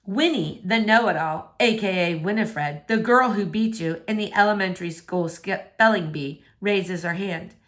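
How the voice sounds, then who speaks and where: A woman's voice: medium pitch, moderate pace, few pauses. A woman reading a novel in verse aloud, narrating expressively.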